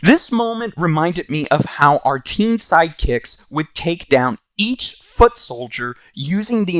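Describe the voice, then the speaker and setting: A man's voice: mid-range voice, a bit bright, dry recording. A man hosting and narrating a scripted comic book review.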